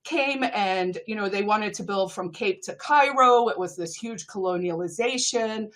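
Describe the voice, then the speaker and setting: Lively South African woman telling her story. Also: medium pitch, normal speed. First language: Afrikaans. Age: middle-aged. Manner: lively, laughs between points, animated asides. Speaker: interview guest. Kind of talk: conversational interview storytelling.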